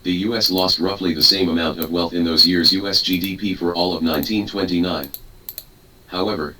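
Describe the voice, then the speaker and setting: Male narrator, deep and formal, reading a speech transcript; distant mic, keyboard clatter in the room. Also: fairly even intonation, a little fast, pushed and bright. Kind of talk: read-aloud speech transcript. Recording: distant mic with clear background noise.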